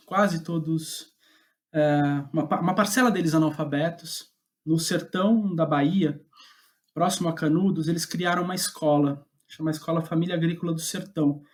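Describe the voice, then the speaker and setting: A man with a Brazilian Portuguese accent speaking as an interview guest, casual and reflective, halting as he thinks aloud. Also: fairly high pitch. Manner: hesitant, halting, thinking aloud with repeated words. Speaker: podcast guest, playwright.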